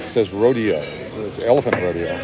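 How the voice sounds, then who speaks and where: A middle-aged man hosting a livestream with casual, joking banter and quick interjections. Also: lowish voice, a crowd in the background. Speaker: livestream host. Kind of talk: casual banter, reading viewer comments. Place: indoors in a restaurant. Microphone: handheld phone at arm's length. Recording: music playing behind, busy restaurant. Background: music.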